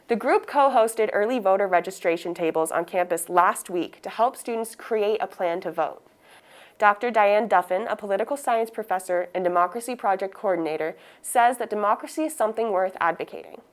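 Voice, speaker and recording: Young woman reading scripted news. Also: medium pitch. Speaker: student news reporter.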